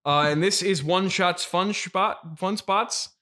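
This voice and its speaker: A man's voice: sits high, takes it slowly, bright, forceful tone. A man hosting an improvised comedy interview, agreeing straight-faced and echoing what his guest says. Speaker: interviewer, podcast host.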